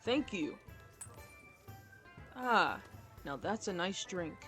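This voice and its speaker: Casting: actor as male company boss, polite, guarded, measured delivery, fantasy audio roleplay with faint music. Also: sits high, lively intonation, sharp tone, full character voice. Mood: pleased, relaxed. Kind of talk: fantasy audio drama acting.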